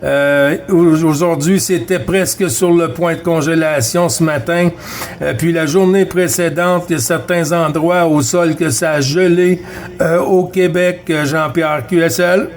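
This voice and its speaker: A man with a Quebec French accent chatting casually as a CB radio operator, halting and hesitant, heard through a radio transmission. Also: a little high, steady tone. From Quebec, Canada. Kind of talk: casual radio contact chat. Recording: noisy CB radio reception, loud static.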